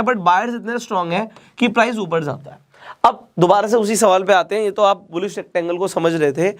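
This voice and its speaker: Slightly hoarse Indian man teaching. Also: sits high. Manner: mixes English phrases into Hindi, addresses viewers directly. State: sore throat, slightly hoarse. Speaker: trading teacher. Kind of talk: explaining lesson to camera.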